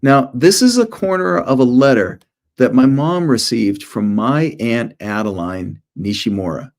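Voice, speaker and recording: A man's voice: mid-range voice, animated, unhurried. A middle-aged man, an author, presenting informally to schoolchildren in short storytelling phrases with conversational asides.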